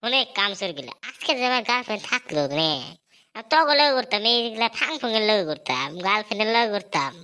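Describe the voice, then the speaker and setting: Woman doing a cheeky scheming schoolboy for a cartoon, sharp bright voice, rural bangladeshi bengali accent, teasing. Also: on the high side, lively intonation, fast, smooth. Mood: boastful teasing. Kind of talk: comedy cartoon dialogue.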